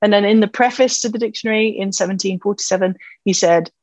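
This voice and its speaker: A woman's voice: mid-range voice, fast, a little mellow, no room sound. A woman talking enthusiastically in a casual conversation about languages, with lots of "you know" fillers.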